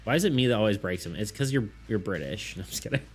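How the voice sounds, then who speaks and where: Low-voiced man rambling on stream. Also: animated, rapid-fire, husky. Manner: rambling, restarting sentences, laughing often. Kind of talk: casual live-stream chat with viewers. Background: faint background music.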